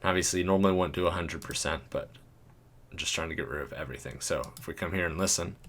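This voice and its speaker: Deep-voiced man chatting through a tutorial. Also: fast talker, a bit bright, slight background noise. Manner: chatty, thinking aloud, chuckles often. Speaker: video creator. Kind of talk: casual software tutorial.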